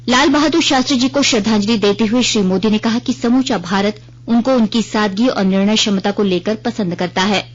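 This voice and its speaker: Formal woman news reader, slightly dull radio sound. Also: a little high. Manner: formal, measured announcing. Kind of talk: scripted Hindi news bulletin reading.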